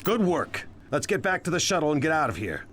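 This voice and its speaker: An actor playing a bold, scheming criminal leader in a video game, commanding and self-assured. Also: male voice, fairly high pitch, big pitch swings, a little fast, fairly bright tone, a little hiss or hum. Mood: urgent.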